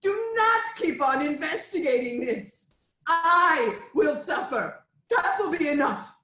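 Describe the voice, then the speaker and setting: A woman acting an anguished, imploring queen in a theatrical reading of Greek tragedy, in a dramatic drawn-out delivery. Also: sits high, expressive, bright, forceful tone, full character voice. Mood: desperate pleading. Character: anguished, pleading queen of ancient Thebes, mother and wife. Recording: echoey room with noise.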